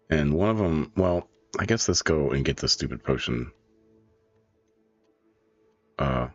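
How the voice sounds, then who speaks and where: Super low rough-voiced guy with a cold, rambly gaming stream, muffled mic, music under. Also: no echo. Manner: laid-back, rambling, joking. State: getting over a cold. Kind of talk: casual gameplay commentary.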